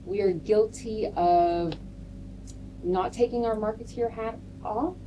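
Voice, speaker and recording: A woman marketer on a debate panel, speaking in a measured way and leaning on "you know" and "um" as she builds her points. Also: mid-range voice, mellow. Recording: distant mic with clear background noise.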